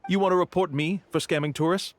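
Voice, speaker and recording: An actor playing a brazen, smooth-talking con-artist tour guide in a video game, theatrical, glib and smug. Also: male voice, high-pitched, steady tone, quick pace, close to an everyday voice.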